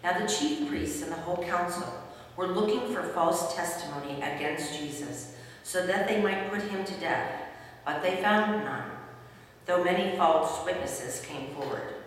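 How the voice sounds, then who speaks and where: A woman's voice carries from a distance through a very echoey hall. She narrates scripture formally, with a lowish pitch, as the reader of a dramatic story. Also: animated, unhurried, a little hiss or hum. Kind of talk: scripted dramatic Bible reading.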